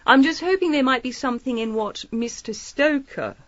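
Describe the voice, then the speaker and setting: A shrewd lady sleuth in a period radio mystery. Her voice sits fairly high and swoops with lively inflection. She is airily polite and disarmingly chatty, recorded close and dry. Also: normal speed, dry recording, dull audio. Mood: slyly probing. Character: shrewd, breezy female amateur detective, feigning innocence. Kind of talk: audio drama acting, period mystery.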